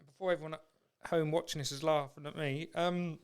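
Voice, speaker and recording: A man's voice: on the high side, fairly even intonation, fairly quick, clear, resonant tone. A man hosting a podcast in casual chat, with an informal, matey way of talking. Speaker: podcast host.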